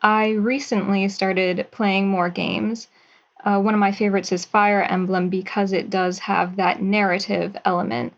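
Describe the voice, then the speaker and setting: A young woman in her twenties from Pennsylvania, a writer answering interview questions in a measured, thoughtful way with a few hesitations. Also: mid-range voice, flat delivery, highs cut off. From Pennsylvania, USA. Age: 20s. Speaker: writer and guest.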